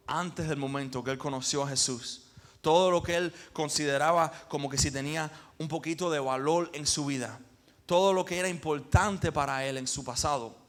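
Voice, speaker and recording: A man from Cuba, preaching a sermon as pastor with emphatic swells and pauses. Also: fairly high pitch, fairly bright tone.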